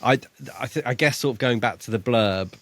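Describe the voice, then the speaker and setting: A man co-hosting a podcast, casually discussing a book in a relaxed way, asking questions and laughing easily. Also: mid-range voice, brisk, dry recording. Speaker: podcast co-host.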